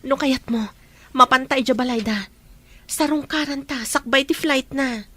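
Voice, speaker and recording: A woman's voice: sits high, measured pace, pushed and bright, no room sound, heavily acted. A woman acting as a frank, questioning friend in an audio drama. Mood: probing. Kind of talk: Ilocano radio-style drama acting.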